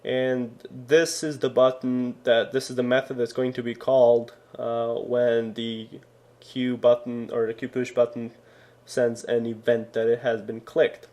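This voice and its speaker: A man's voice: mid-range voice, flat delivery, unhurried, mellow. A man from Serbia giving a casual coding tutorial, rambling with many fillers and self-corrections. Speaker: programming tutor.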